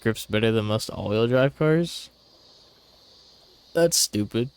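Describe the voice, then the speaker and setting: A man's voice: lowish voice, lively intonation, takes it slowly, clear, resonant tone. A young man giving casual gaming commentary, slangy and profane, with muttered asides. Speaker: game streamer.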